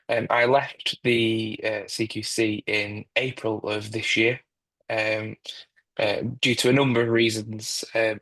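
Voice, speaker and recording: Manchester guy presenting on a video call, low flat voice, lots of ums, dry sound. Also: no room sound, low-quality recording. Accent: Manchester English. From England. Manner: hesitant, many ums and restarts. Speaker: guest speaker, quality consultant. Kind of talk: presentation in an online meeting.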